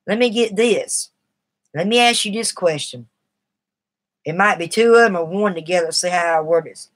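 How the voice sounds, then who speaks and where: A woman's voice: on the low side, moderate pace. Earnest woman on a live stream. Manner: rambling, earnest and confiding. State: shaky from nicotine withdrawal. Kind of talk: casual live stream talk.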